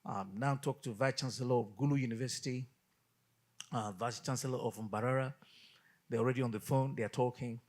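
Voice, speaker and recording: Man from Nigeria, husky voice, guest pastor, lively storytelling, acts out quoted dialogue, middle-range pitch. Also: brisk. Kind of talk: casual storytelling in a studio interview.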